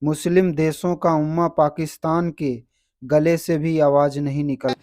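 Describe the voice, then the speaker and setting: Male commentator, slightly high but dark-toned voice, dry close recording. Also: flat delivery, measured pace, dry recording.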